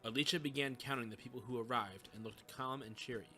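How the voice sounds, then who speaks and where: A man's voice: medium pitch, fast talker, sharp tone, faint music behind. A man giving scripted narration of a story in the third person.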